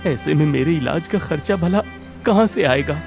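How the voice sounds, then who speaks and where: A man's voice: on the high side, expressive, normal speed, creaky, music in the background. A man playing a kind-hearted, poor labourer husband in a cartoon story, earnest and exclamatory. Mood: worried, pained. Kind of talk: Hindi cartoon story voice acting.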